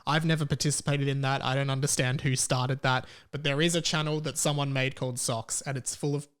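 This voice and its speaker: A man's voice: mid-range voice, fairly even intonation, brisk, fairly bright tone, smooth. A man in his twenties with an Australian accent, hosting a podcast solo in a casual, rambling way with repeated words for emphasis. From Melbourne, Australia. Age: 20s. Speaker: podcast host.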